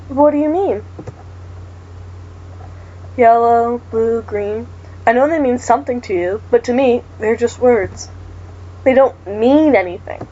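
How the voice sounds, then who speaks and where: A woman's voice: a little high, a little slow, long pauses, mellow, highs cut off. A woman reading the lines of an anxious, self-doubting colorblind teenage boy in a horror game. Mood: worried.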